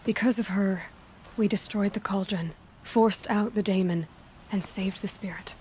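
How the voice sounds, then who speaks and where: A woman's voice: mid-range voice, fairly even intonation, measured pace, darkish tone, a little hiss or hum, natural voice. A woman playing a frank, compassionate young adventurer in a video game, speaking plainly and directly. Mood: subdued.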